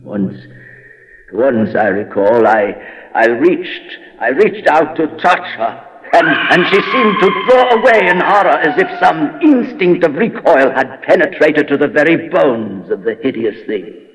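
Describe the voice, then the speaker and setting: An actor playing a lonely, tormented castle dweller in a horror radio monologue, speaking in a halting, haunted, theatrical manner with dramatic pauses. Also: male voice, a little high, expressive, a bit bright, slight background noise. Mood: agitated, fearful. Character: lonely, tormented male castle dweller raised among bones and skeletons. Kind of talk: dramatic first-person horror monologue, old-time radio acting. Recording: dull old radio recording, long reverb.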